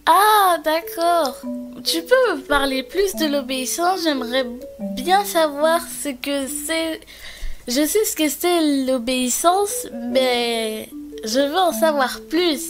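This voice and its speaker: A woman's voice: high-pitched, lively intonation, takes it slowly. A woman voicing a curious, eager little granddaughter in a children's cartoon. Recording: music behind the voice.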